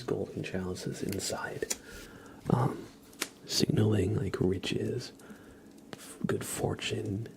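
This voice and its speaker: A man hosting an ASMR livestream in a hushed, breathy whisper, chatting casually with frequent pauses, close to the microphone. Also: lowish voice. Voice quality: breathy whisper with creaky voiced patches. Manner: unhurried, hushed, pausing often. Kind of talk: whispered ASMR, casual tarot card reading and chat with viewers.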